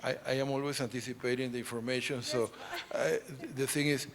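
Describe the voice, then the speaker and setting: Man, conference lecture, explanatory and formal, hesitant pauses, long hall reverb, mid-pitched voice. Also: steady tone, slight background noise. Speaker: interpreter presenting a speaker's lecture. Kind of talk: conference lecture on numismatics, relayed for another speaker.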